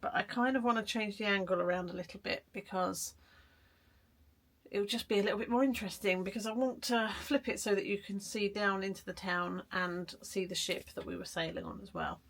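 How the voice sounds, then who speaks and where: A woman chatting casually in an art vlog, hoarse and croaky from a cold, rambling and self-deprecating. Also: medium pitch, fairly even intonation, fairly quick. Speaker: vlogger. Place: garden shed studio.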